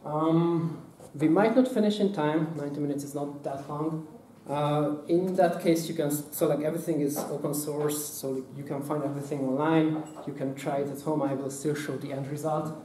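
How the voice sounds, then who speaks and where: A man, giving a hands-on workshop talk at a conference, speaking haltingly with many fillers and restarts. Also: a little high, steady tone, warm tone, a little hiss or hum. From Hungary. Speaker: workshop presenter. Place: conference room. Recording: echoey room, mic at a distance.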